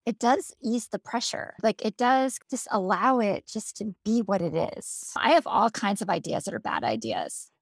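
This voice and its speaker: A woman's voice: medium pitch, expressive, normal speed, hardly any pauses, dry recording, studio quality. A woman hosting a podcast in a casual, chatty interview style. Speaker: podcast host.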